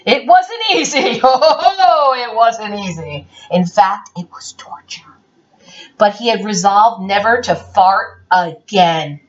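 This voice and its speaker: Woman storyteller for a kids' read-aloud, slow and theatrical, very lively pitch swings. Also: slight background noise. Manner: theatrical, expressive, playful. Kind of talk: animated children's picture book read-aloud. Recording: roomy, dull lo-fi, distant mic.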